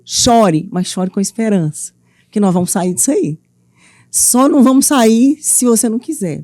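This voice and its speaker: A woman's voice: mid-range voice, no room sound, studio quality. Mineira woman telling stories, playful. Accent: Minas Gerais Brazilian Portuguese. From Minas Gerais, Brazil. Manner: lively, playful, earnest storyteller. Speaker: guest, author and mentor. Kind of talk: conversational interview storytelling. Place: TV studio.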